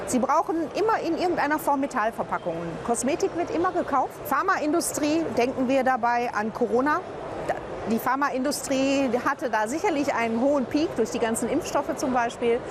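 A woman's voice: sits high, animated, brisk. A woman in an interview, explaining her industry fluently with a few fillers. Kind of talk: on-camera interview statement. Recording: interview with audible street noise.

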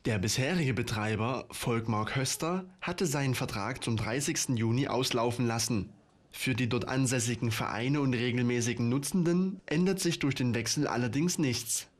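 Steady male news narrator. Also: medium pitch, normal speed. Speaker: news reporter.

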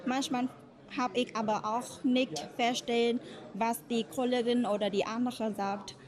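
A young woman from Vietnam answers an interview question with a Vietnamese accent. She speaks slowly in halting, non-native phrases. Her voice is fairly high. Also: steady tone, a little mellow. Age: young adult. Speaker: trainee, interviewee.

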